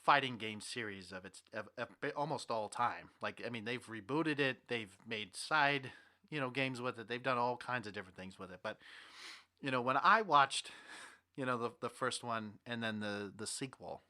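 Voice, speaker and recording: A young man hosting a podcast with casual, animated, rambling banter about movies and games. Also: medium pitch, moderate pace. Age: young adult. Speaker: podcast host.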